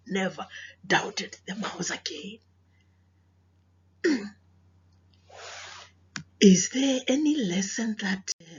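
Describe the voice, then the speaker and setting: A South African woman, a native Sepedi speaker with a husky, breathy voice, reading to children as a teacher, explaining and questioning as she goes. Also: medium pitch, highs cut off. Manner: didactic, repeats phrases, questions listeners. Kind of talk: children's read-aloud with moral lesson.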